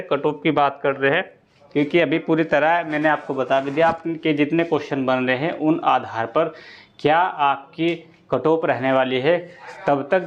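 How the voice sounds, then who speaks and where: A man with a somewhat high-pitched voice talks students through exam information. He is informal and instructive, repeating points and adding short check-in tags. The recording sounds dull and a little lo-fi. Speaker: online exam-prep presenter. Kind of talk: informal explainer addressed to students.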